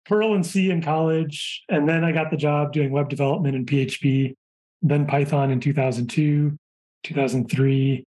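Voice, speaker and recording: A man in his forties, a software engineer guest on a podcast, telling chatty anecdotes in a relaxed way. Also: a little high, normal speed. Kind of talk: casual conversational interview.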